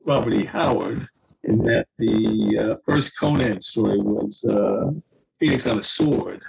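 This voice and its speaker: A man speaking as a guest author, casually explaining his own fiction in a halting, explanatory way. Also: lowish voice, creaky, a little mellow, slightly rough, low-quality recording.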